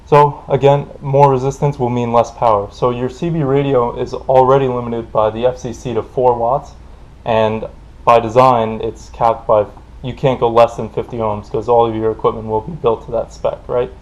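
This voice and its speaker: A man's voice: medium pitch, flat delivery, normal speed, darkish tone. A man giving an informal technical explainer in a plain-spoken, loosely improvised way, as a tutorial presenter. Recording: dull, lo-fi voice recording.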